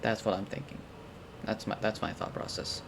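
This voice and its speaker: A man's voice: fairly low pitch, normal speed, vocal fry, background noise. A man giving casual game commentary, thinking aloud in a rambling, sweary way as a streamer.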